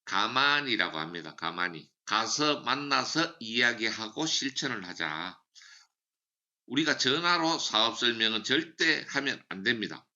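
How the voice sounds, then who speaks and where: A man's voice: mid-range voice, animated, measured pace, bright, forceful tone, highs cut off. A man giving a motivational sales training lecture, emphatic and repeating key slogans. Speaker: seminar speaker for a sales business.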